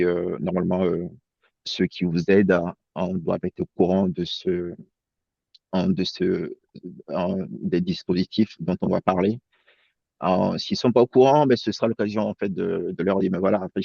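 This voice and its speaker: A man's voice: low voice, vocal fry, darkish tone, slightly rough, no echo. A man who grew up in France, presenting as an accountant in a webinar over a video call, hesitant and full of fillers and restarts. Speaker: accountant guest. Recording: dull lo-fi sound.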